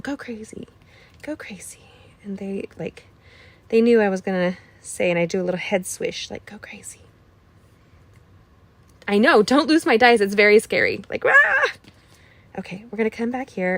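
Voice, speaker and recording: A woman with a slightly scratchy voice hosting a card-making tutorial, chatting casually with playful asides and dropping into whispers. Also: mid-range voice. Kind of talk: casual craft tutorial chat.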